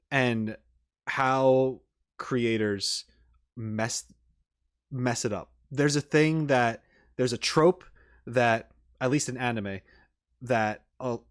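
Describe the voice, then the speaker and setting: A man giving casual, unscripted reaction commentary as a video host, rambling informally with emphatic enthusiasm. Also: mid-range voice.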